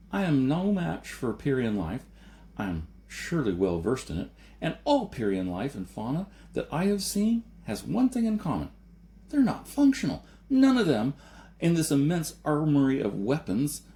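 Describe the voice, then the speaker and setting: A man's voice: on the high side, dark tone, voice pushed up. A man voicing a persuasive male adventurer in a story, arguing and lecturing with insistent emphasis.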